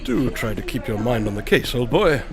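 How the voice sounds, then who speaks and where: An actor playing a self-assured, dryly witty detective in an audio drama, speaking in a formal, old-fashioned manner. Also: male voice, medium pitch, animated, moderate pace, lightly acted. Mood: confident, curious.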